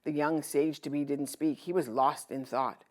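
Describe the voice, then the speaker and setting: A woman narrating a children's storybook in an expressive storytelling style. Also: low voice, normal speed.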